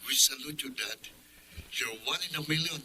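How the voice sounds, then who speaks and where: An actor playing a proud, grateful young adult son in an emotional radio drama, with a Filipino accent. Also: male voice, medium pitch, moderate pace, noisy background, lightly acted. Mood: earnest, proud. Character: proud, grateful grown son studying abroad.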